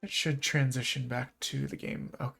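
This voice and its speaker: Male streamer, congested, gravelly, casual rambling chat. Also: medium pitch, normal speed, soft background music. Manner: relaxed, rambling, self-deprecating. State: stuffy, congested nose. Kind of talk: casual live-stream chat while gaming.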